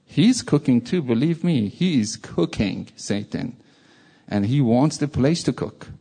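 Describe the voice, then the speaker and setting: A man's voice: mid-range voice, expressive, a little slow, gravelly, dark tone, slightly rough. A man from Iran with a Persian accent, giving an informal sermon with jokey asides. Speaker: preacher.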